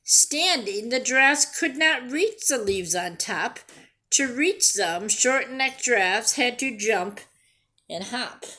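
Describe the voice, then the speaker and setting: A woman reading a picture book aloud to young children in an expressive, deliberate way, pausing to ask simple questions and explain things. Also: fairly high pitch. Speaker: children's storyteller and teacher.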